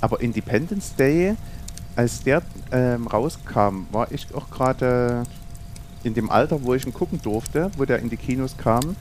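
Mid-pitched man, late thirties, musing casually with pauses, faint hiss behind. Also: expressive, darkish tone. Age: 30s. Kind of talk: casual chat.

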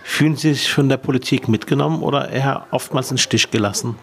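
A man in his forties, a politician, asking friendly, curious questions in a relaxed interview at a daycare centre. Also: medium pitch, quick pace, slight background noise. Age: 40s. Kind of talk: casual interview.

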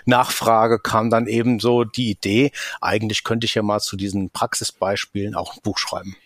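Man, 50s, Hessian accent, coach, calm explaining, some fillers, very dry recording. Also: lowish voice, normal speed, dry recording. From Rhine-Main area, Germany. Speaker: guest, leadership coach. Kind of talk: podcast interview.

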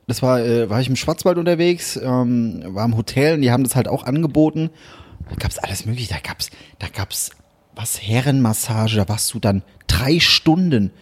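A husky-voiced man spins a long anecdote in casual chat. His delivery is animated, and he slips into hushed whispers when he plays his own inner thoughts. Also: medium pitch, normal speed.

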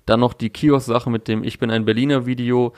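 A man's voice: mid-range voice, fairly even intonation, fairly quick, a little mellow, dry recording, studio quality. A young man in casual chat, talking through a music release with fillers and restarts. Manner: thoughtful, many fillers and restarts. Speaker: podcast co-host discussing music.

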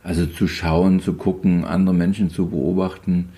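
Deep-voiced man in his fifties, wry and rambling. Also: normal speed. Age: 50s. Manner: relaxed, rambling storyteller with wry humor and frequent hesitations. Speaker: photographer guest. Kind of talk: casual interview.

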